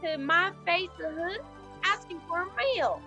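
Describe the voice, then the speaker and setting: A feisty older cowgirl of the Old West, acted by a woman in radio-western style. The voice is extremely high and piercingly bright, with folksy phrasing, over music. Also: expressive, unhurried, lo-fi sound, full character voice. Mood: anxious. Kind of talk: old-time western radio drama acting.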